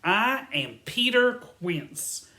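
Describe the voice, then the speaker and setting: A woman playing an earnest, slightly bossy amateur theatre director in a comic audio drama, announcing things deliberately. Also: mid-range voice, big pitch swings, bright, forceful tone, full character voice. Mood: officious.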